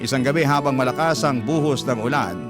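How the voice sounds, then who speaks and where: A man's voice: medium pitch, brisk. A man with a Filipino accent reading a listener's letter as a dramatic radio storyteller. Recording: prominent guitar music under the voice.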